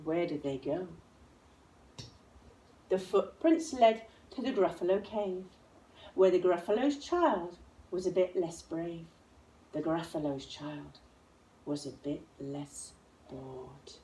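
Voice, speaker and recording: A woman reading a children's storybook aloud in a dramatic, deliberate, expressive way. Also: lowish voice. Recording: roomy echo, distant microphone.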